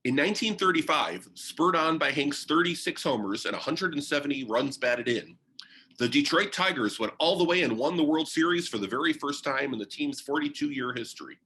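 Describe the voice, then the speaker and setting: A man narrates a biography as if telling a story, reading from a text. His voice is bright and forward, with pitch that moves around in a lively way and an expressive delivery. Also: a little fast, poor audio. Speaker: reader of a biography. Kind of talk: read-aloud biography narration.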